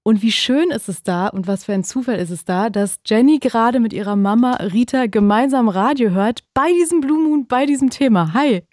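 A woman's voice: a little high, moderate pace, hardly any pauses, darkish tone, no room sound, clean studio sound. Chatty woman radio call-in host. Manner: friendly, laughs often, chatty. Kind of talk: late-night radio call-in talk. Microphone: studio microphone.

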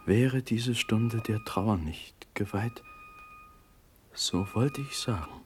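An ardent, impetuous young prince, noisy recording. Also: male voice, fairly low pitch, takes it slowly. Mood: tender, yearning. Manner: impassioned declamation, swinging from breathy hush to outbursts. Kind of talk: classical German radio drama acting.

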